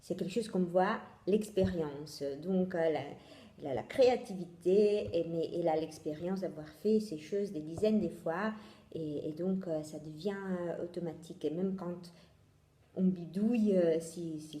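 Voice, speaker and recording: A woman's voice: on the low side, warm tone, echoey room. A woman from Italy with an Italian accent, hosting a casual knitting podcast and speaking French with many fillers and restarts. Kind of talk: casual video podcast chat. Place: home in Italy.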